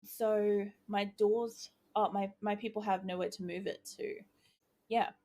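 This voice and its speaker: A woman playing a video game and chatting as she works it out, excited by each small success, her voice going croaky. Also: mid-range voice, unhurried. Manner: chatty, thinking aloud, easily excited. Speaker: gaming YouTuber. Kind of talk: casual let's-play commentary.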